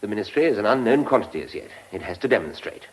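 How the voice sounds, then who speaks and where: Male actor as self-important civil servant, lowish voice, lively emphasis, hesitant bluster. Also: very fast, natural voice, low-quality recording. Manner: blustering, hesitant, searching for words. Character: pompous, dithering English government official. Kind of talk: radio drama acting.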